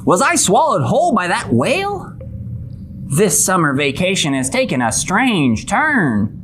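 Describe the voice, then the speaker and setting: A woman's voice: fairly low pitch, sing-song, measured pace, a little hiss or hum. A woman playing an eager, naive young fisherman in a children's puppet show, earnest and wide-eyed. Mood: startled, puzzled.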